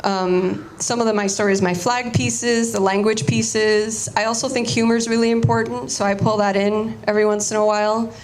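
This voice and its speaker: A woman's voice: on the high side, level tone, moderate pace, few pauses, a little hiss or hum, poor audio. Chatty, informal woman giving an artist talk. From United States, Cuban-American family. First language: Spanish. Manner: informal, chatty, restarts sentences often. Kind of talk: casual artist talk to an audience.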